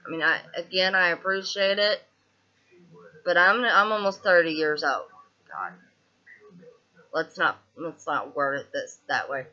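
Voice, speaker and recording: Woman in her twenties, Southern twang, bright voice, frustrated casual venting, muffled lo-fi webcam sound. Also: fairly low pitch, unhurried, long pauses. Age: 20s. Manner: frustrated, venting with frequent restarts and fillers. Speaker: vlogger. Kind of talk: casual video diary. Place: small indoor room, seated on a couch.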